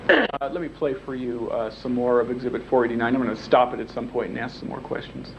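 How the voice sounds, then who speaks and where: Halting lawyer questioning a witness. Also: male voice, medium pitch, steady tone, vocal fry, a little hiss or hum. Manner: halting, repeats words while he forms questions. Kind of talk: deposition questioning. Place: deposition room, indoors. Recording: dull lo-fi videotape recording.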